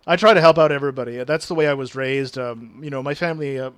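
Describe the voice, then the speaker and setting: A man's voice: mid-range voice, fairly quick, smooth, no echo. A man with a regional French accent, a native French speaker, chatting casually with viewers on a game stream and reading their messages aloud. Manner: chatty, reads chat aloud, uses big words.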